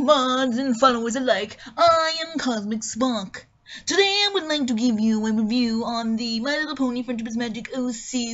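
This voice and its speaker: A woman performs a dramatic reading of an online review. Her voice sits fairly high and her delivery is expressive and theatrical. The recording is a little dull and lo-fi. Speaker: dramatic reader.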